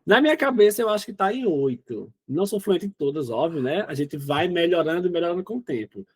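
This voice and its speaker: Rapaz nordestino de uns vinte e poucos anos, voz aguda, conversa solta de entrevistado. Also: male voice, high voice, very animated, a little fast, breathy edge. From Northeast Brazil. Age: 20s. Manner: chatty, often says "né?" and "entendeu?". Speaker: interview guest. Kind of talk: casual interview chat.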